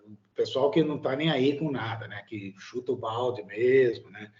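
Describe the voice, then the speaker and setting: Hesitant Brazilian man, mellow and muffled. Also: medium pitch, normal speed. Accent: Brazilian Portuguese. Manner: hesitant, many fillers and trailing "né?". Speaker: guest, immigrant living in Italy. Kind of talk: casual interview chat.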